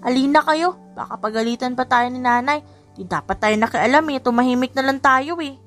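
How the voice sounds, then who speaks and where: A woman voicing a timid, cautious little boy with a worried, gentle manner in an audiobook story. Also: high voice, fairly quick, faint music behind. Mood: worried pleading.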